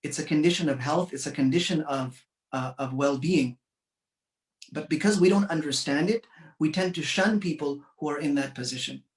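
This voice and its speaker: A man from Canada giving an earnest, measured commemorative speech, pausing often with fillers. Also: a little high, reverberant, distant mic. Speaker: community speaker.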